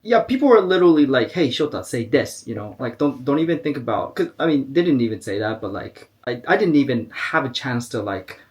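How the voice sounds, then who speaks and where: Animated American-accented man telling a story. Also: medium pitch, fairly quick, hardly any pauses. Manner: storytelling, lively, many fillers like "like" and "you know". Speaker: podcast co-host. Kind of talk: casual chat.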